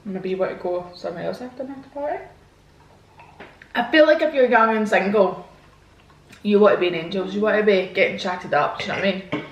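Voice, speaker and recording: A woman's voice: mid-range voice, fairly quick, pauses often, roomy sound, lo-fi sound. A woman, chatting frankly and casually to her viewers while answering their questions. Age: 20s. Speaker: vlogger. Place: home, indoors. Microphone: camera mic.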